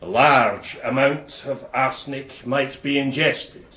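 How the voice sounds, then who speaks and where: An actor playing a methodical prosecuting counsel in a period courtroom radio drama, putting questions in a clipped, formal manner. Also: male voice, mid-range voice, slow pace, sharp tone. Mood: stern, measured. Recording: echoey courtroom-like room sound.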